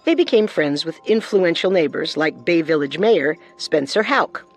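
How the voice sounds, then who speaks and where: A woman's voice: deep voice, lively intonation, moderate pace. A woman co-hosting a true-crime show with scripted narration. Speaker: co-host, narrator.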